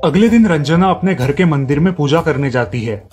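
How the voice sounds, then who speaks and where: A man narrating a family cartoon story in a steady storytelling manner. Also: a little high, normal speed, faint music behind.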